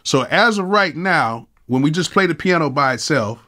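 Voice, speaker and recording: A man's voice: on the high side, animated, moderate pace, bright, forceful tone, soft background music. Sick, nasal man demoing a plugin. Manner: laid-back, conversational, slangy. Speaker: music producer and YouTube host. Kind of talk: casual software tutorial. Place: home studio room.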